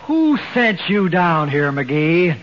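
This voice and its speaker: A man's voice: a little high, sing-song, slow. A man acting a brusque, pompous government official, officious and easily flustered, in 1940s radio comedy. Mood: outraged. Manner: officious, clipped, exasperated. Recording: dull 1940s radio recording, faint noise.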